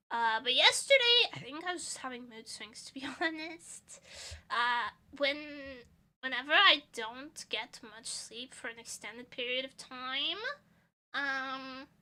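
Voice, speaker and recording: A young woman from Portugal chats casually with her stream viewers, giggling often. Her voice sits high and sounds very bright and sharp. She swings from loud excited bursts to quiet mumbles. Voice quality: giggly, chatty, drifts between loud bursts and soft mumbles. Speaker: vtuber streamer. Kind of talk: casual stream chat answering chat.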